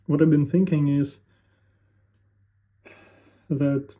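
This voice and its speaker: A man's voice: fairly high pitch, level tone, warm tone. Musing young man, tinny lo-fi sound. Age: 20s. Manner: musing, halting, thinking aloud with fillers. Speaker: vlogger. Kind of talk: unscripted talking to camera.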